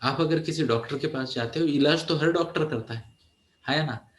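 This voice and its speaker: A man's voice: fairly high pitch, normal speed, echoey room. A man in his forties, a teenage mentor, giving a motivational talk and often asking his listeners "है ना?". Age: 40s. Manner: mixes English phrases into Hindi, asks "है ना?" often.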